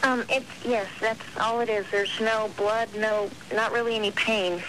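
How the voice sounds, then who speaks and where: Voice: mid-range voice, fairly even intonation, moderate pace, natural voice. A prankster voicing a meek, polite woman caller, speaking hesitantly on a phone call to a radio show. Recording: slightly noisy telephone call to radio.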